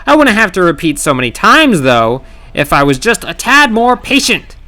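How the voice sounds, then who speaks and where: A man narrating his own gameplay challenge in scripted voice-over, wry and sarcastic with playful dramatic pauses. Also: high voice, big pitch swings, sharp tone. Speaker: gaming channel host. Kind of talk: scripted comedic game commentary narration.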